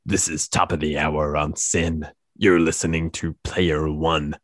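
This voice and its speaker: A man hosting a student radio show, giving brisk station announcements. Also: a bass voice, level tone, dry recording, studio quality. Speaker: radio host.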